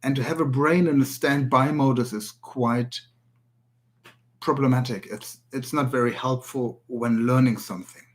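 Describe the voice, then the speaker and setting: A German-accented man presenting a language tutorial in English. His pitch sits in an ordinary middle range for a man. He speaks in an earnest way and sometimes stops to search for an English word. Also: steady tone, moderate pace. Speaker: language teacher. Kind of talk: spoken video tutorial.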